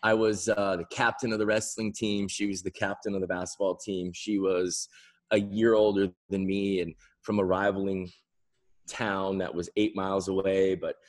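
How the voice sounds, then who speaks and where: A middle-aged man from the American Midwest with an American accent, telling stories casually as an interview guest, rambling and pausing mid-thought. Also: low-pitched, flat delivery. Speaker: interview guest, winery founder. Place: indoor room at home, on a video call.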